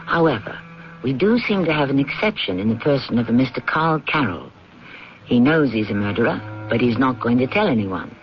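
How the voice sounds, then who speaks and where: Wry male radio narrator, very expressive. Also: mid-range voice, fairly quick, slight background noise. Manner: wry, theatrical. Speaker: host and narrator. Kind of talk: dramatic scripted narration. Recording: muffled old radio broadcast. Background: music.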